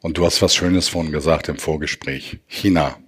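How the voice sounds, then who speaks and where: Deep, husky man. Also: steady tone. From Hamburg, northern Germany. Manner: emphatic, rhetorical, deliberate phrasing. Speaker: economic expert, panel guest. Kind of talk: impassioned debate argument.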